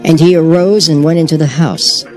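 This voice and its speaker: A man narrating a dramatized scripture reading in a measured, expressive way. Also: a little high. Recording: loud music underneath.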